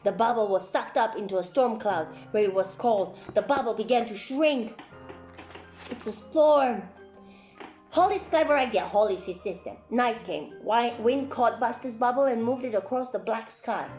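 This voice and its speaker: A woman reading a story aloud for children as a clown presenter, expressive and exclaiming, with chatty asides to her young listeners. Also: on the high side, normal speed. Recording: roomy echo, music faintly behind.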